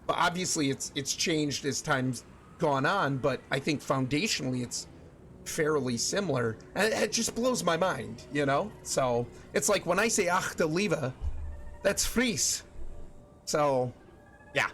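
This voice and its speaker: Young man, streamer, casual chat, rambling restarts, a bit high-pitched. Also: animated, a little slow, fairly bright tone, soft background music. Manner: chatty, thinks aloud with many restarts and fillers.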